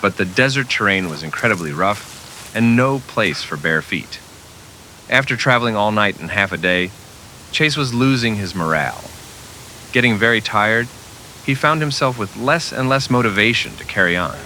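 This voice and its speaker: Male narrator, dramatic old-time radio western storytelling, sharp bright tone, faint noise and crickets behind. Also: fairly low pitch, expressive, normal speed, rough recording.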